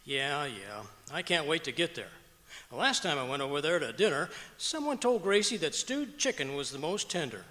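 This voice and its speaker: A man's voice: on the high side, sing-song, moderate pace, sharp tone. A man playing a sarcastic, grumbling husband who delivers sardonic punchlines in an old-time radio comedy on stage. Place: stage of a performing arts auditorium. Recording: echoey auditorium with long reverb.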